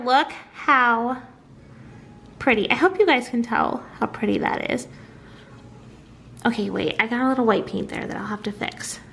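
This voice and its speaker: A woman with a very high, nasal voice talks casually to her craft channel viewers. Her tone is bright and forward, and her manner is bubbly and gushing. There is some steady background noise on the recording. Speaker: craft and home decor YouTuber. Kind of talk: casual vlog chat.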